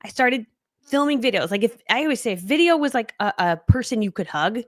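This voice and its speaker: A woman's voice: on the high side, expressive, brisk, no echo, clean studio sound. A woman guest in a casual interview, chatting in a bubbly way with frequent laughs and fillers. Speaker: guest speaker.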